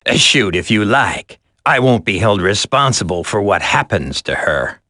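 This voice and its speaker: An actor playing a smug, sadistic terrorist villain in a video game, with a drawling, taunting, theatrical delivery. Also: male voice, lowish voice, very animated, unhurried, bright, forceful tone, no room sound, clean studio sound, exaggerated voice. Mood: mocking menace.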